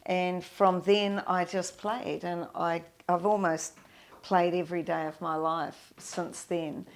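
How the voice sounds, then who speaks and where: An older woman, reminiscing in an interview in a halting, thoughtful way with many pauses. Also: lowish voice, flat delivery. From New Zealand. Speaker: guest, pianist and composer.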